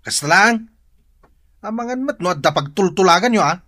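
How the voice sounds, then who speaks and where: Swooping, bossy young husband. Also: male voice, high-pitched, lively intonation, normal speed, sharp tone, heavily acted. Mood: suspicious. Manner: bossy, grumbling. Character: lazy, domineering, jealous young husband. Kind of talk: radio drama acting.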